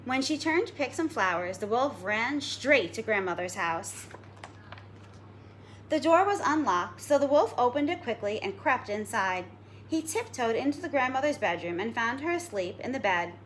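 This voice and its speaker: A woman schoolteacher giving a read-aloud lesson for children, explaining simply and deliberately. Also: on the high side, sing-song, a bit bright, slight background noise. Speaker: elementary school teacher.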